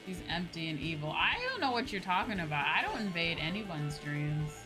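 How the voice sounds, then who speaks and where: A woman's voice: lowish voice, expressive, normal speed, poor audio. A woman streaming casually as she plays a game, talking in an opinionated, emphatic way and swearing freely. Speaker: streamer, VTuber host. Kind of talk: casual livestream chat while gaming. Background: video game music.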